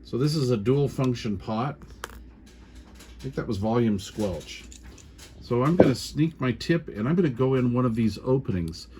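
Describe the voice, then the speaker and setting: A middle-aged or older American man giving an informal hands-on how-to explanation in an unhurried, halting, folksy manner. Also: medium pitch, expressive. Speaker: repair tutorial host. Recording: clear background noise.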